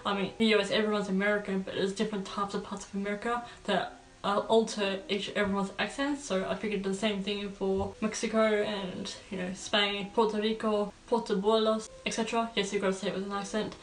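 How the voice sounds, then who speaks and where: A woman's voice: medium pitch, flat delivery, fairly quick. Echoey, chatty woman giving reaction commentary. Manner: chatty, rambling. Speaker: reaction video host. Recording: roomy echo, distant mic, faint music.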